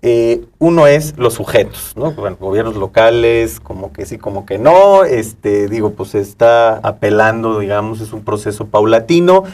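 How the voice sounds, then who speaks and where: A man's voice: lowish voice, expressive, normal speed, hardly any pauses, darkish tone, far from the mic. A man co-hosting a conversational interview, speaking in fragments and often checking for agreement. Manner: fragmented, often seeks agreement with tag questions. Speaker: talk show co-host. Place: internet TV studio.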